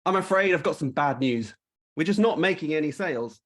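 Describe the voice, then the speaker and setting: Man acting a lively, higher-voiced office worker in a comedy. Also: fairly quick. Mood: grave. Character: gloomy company accountant and silly sandwich delivery guy. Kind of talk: amateur comic audio drama.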